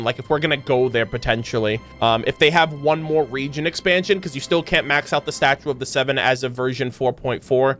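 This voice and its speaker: A man's voice: fairly high pitch, fairly bright tone. A man hosting a gaming reaction, reading lore aloud and commenting on it casually.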